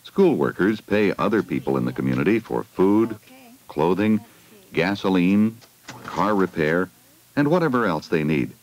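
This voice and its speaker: A man's voice: sits low, big pitch swings, normal speed, rough recording. A man reading a scripted educational film narration for children, putting simple questions to them, on a 1980 film soundtrack.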